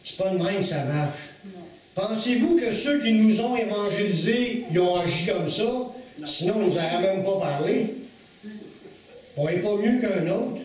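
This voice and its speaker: A Québécois man preaching to his congregation, his voice sitting notably high for a man. He leans on key phrases, slowing down to stress them. He is recorded from a distance in a heavily echoing church hall. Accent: Québécois French. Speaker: pastor. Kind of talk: sermon.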